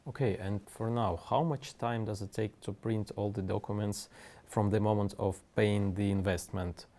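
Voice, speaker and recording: Male interviewer, gravelly low voice, asks questions in a measured way. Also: fairly even intonation, dark tone.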